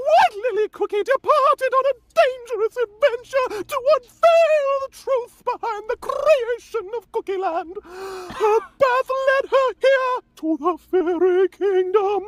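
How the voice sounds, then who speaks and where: Ancient fairy ruler, extremely high voice, slow delivery, regal, whimsical, theatrical fantasy game story. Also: female voice. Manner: grandiose, slow, dramatic declaiming. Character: ancient, excitable, regal guardian fairy monarch, grand and whimsical. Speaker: performer in a live table read.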